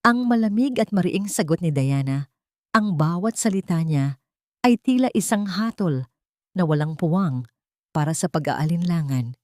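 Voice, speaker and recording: A woman narrating a scripted drama story in a measured, dramatic way. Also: on the low side, animated, no room sound, studio quality.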